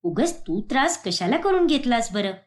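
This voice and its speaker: Cartoon mother-in-law, older, kindly, gently chiding, very expressive intonation; female performer putting her on. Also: a little high, moderate pace. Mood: affectionate. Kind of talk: cartoon moral-story acting.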